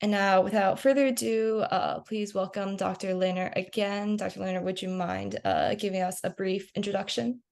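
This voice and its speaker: A woman's voice: medium pitch, lively intonation, normal speed, vocal fry, few pauses, a little mellow. A young woman hosting a student-run science webinar on a video call, introducing the organization and reading out questions. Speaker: webinar host.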